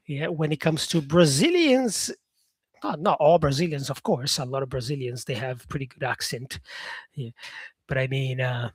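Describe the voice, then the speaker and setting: A man's voice: fairly high pitch, slightly rough, no room sound. Upbeat rambling male English teacher, dry sound. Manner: rambling, restarts himself, many fillers; upbeat, encouraging. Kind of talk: casual talk to viewers, answering comments.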